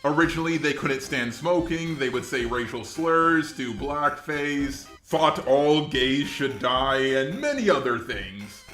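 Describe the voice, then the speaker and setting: A man's voice: mid-range voice, lively intonation, unhurried, hardly any pauses, a bit bright. Guy doing scripted youtube commentary, voice swings up and down a lot, echoey sound. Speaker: commentary channel host. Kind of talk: scripted internet commentary narration.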